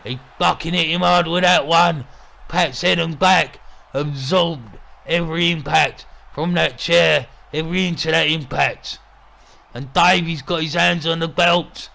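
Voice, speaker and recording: A man playing a gruff, bullying wrestling boss and commentator with a throaty growl and a crude, sweary, threatening way of talking. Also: on the high side, steady tone, measured pace, pushed and bright, full character voice. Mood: excited. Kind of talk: wrestling commentary with in-character banter.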